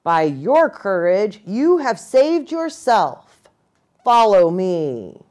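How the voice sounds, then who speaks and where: A woman voicing a stern old buffalo chief in a storybook, proclaiming gravely and deliberately. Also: mid-range voice, sing-song, a little mellow. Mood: solemn approval.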